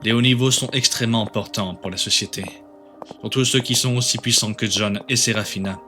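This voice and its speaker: A man's voice: on the low side, fairly even intonation, brisk, pushed and bright, faint music behind. A man playing a rigid, menacing agent of the authorities in an amateur webtoon dub, formal and insistent. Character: menacing male authority agent, rigid, coldly polite, disciplinarian.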